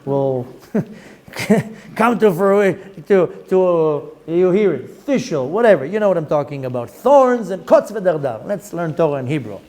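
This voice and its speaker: A middle-aged man with an Israeli accent teaching a Torah lesson, reading Hebrew text quickly and explaining it in English with lively rhetorical questions. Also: a little high. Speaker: rabbi teaching Zohar.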